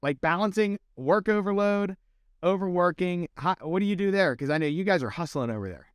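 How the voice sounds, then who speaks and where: A man's voice: high-pitched, animated, fairly quick, smooth, no echo, studio quality. A man hosting a podcast, asking questions in a loose, curious way with plenty of "like". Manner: curious, loose, uses "like" a lot. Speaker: podcast host. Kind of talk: casual interview conversation.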